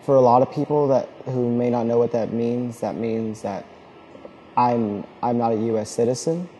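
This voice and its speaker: A young man from Alabama giving an earnest, reflective personal testimony speech, sometimes pausing to restart a phrase. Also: mid-range voice, fairly even intonation, warm tone, slight background noise, rough recording. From Alabama, United States. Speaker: speaker telling his own story.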